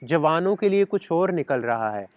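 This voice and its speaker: A man's voice: fairly high pitch, big pitch swings, normal speed, no echo, muffled recording. A man, giving a sociology lecture and slipping English terms into his while repeating key words. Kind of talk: explanatory lecture. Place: outdoors in a garden.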